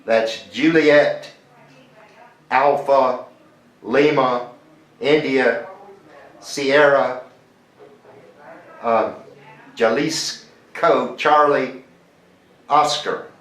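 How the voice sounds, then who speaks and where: A man's voice: medium pitch, fairly even intonation, extremely slow, long pauses, fairly bright tone. Male ham operator, easygoing radio chat, spells words phonetically, room echo on the mic. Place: home radio station in Mexico.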